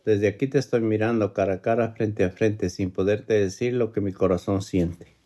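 Guy with a low warm voice rattling off old teasing rhymes, singsongy. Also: fairly even intonation, normal speed. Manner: mocking sing-song recitation. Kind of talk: reciting traditional rhyming folk verses.

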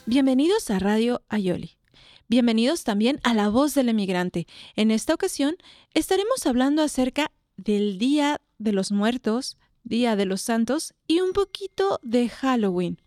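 A woman's voice: a little high, expressive, normal speed, a little mellow, no echo, clean studio sound. A Mexican woman presenting a radio programme and interviewing guests in Spanish. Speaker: radio host.

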